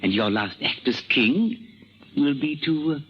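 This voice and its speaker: A man's voice: mid-range voice, animated, unhurried, slightly rough. An actor playing a scheming, imperious king of France with a sardonic, taunting manner in a 1930s radio drama. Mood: sly, taunting. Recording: muffled, noisy 1930s radio broadcast.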